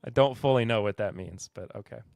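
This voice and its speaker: A man's voice: on the low side, fairly quick, creaky, a little mellow, dry recording, soft background music. A man from Kentucky hosting a live podcast in casual chat, laid-back and chuckling as he reads and answers viewer comments. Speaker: podcast host.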